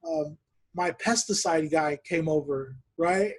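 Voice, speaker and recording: A man hosting a podcast over a video call, telling stories in a relaxed chat and laughing often. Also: fairly high pitch, unhurried. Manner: laughs often, animated storytelling. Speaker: podcast host. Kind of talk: casual chat.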